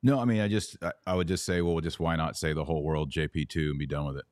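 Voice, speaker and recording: Deep-voiced man in casual podcast chat. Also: fairly even intonation, fast, darkish tone, dry recording, clean studio sound. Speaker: podcast host. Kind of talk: casual conversational discussion.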